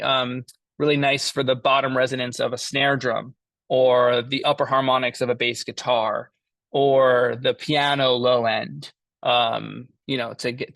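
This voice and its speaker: A man giving an informal lesson as an audio engineer, explaining his tools in a halting way as he thinks aloud. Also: mid-range voice, vocal fry, a bit bright, no echo. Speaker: mixing engineer teaching a class.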